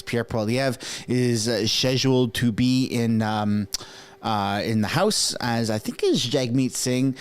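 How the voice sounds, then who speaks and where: A man whose first language is French hosts a live political stream in a loose, rambling way. He drops sarcastic asides and keeps talking straight to his viewers, with his pitch sitting in an ordinary middle range. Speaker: livestream political commentator. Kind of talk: casual live commentary.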